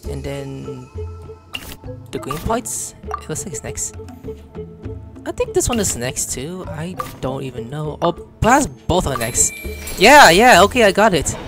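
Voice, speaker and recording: A man's voice: a little high, huge pitch swings, a little slow. A man giving casual, slangy reaction commentary as he plays a game, exclaiming and muttering to himself. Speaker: streamer playing and commenting on a game. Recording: game music behind the voice. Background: video game music and dialogue.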